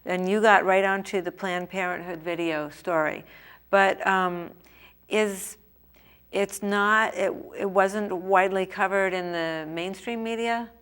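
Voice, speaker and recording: A woman's voice: mid-range voice, fairly even intonation, a little slow, fairly bright tone, clean tone. A woman hosting a talk show interview, asking questions and murmuring encouragement. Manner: encouraging, frequent affirming murmurs. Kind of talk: conversational interview.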